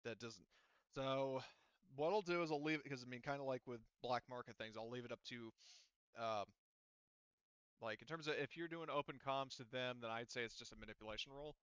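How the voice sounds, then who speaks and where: A man runs a tabletop roleplaying game in a bright, forceful voice. He talks informally as game master, on a close, dry mic with slightly muffled top end. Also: medium pitch, a little fast, clear, resonant tone, no echo.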